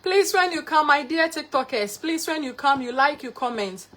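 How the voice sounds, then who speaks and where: A woman's voice: high-pitched, expressive, normal speed, fairly bright tone. A young woman from Ghana with a Ghanaian accent, hosting a live cooking stream and greeting viewers by name with repeated thanks. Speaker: cooking livestreamer.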